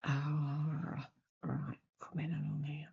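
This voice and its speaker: A woman hosts a casual craft demonstration in a croaky, cold-roughened voice full of gravelly fry. She takes her time, rambling and thinking aloud as she works. The sound is a little dull. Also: deep voice, monotone, slow pace, a little hiss or hum. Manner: unscripted, rambling, thinking aloud while working. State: croaky, hoarse after a cold. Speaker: craft tutorial host. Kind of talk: casual chatty needlework demonstration.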